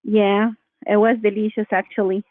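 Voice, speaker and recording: A woman's voice: medium pitch, level tone, normal speed, no echo. A woman with a Brazilian Portuguese accent, a scam caller in a casual phone chat who keeps calling the listener honey. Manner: sweet-talking, calls him honey. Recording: tinny dry phone line.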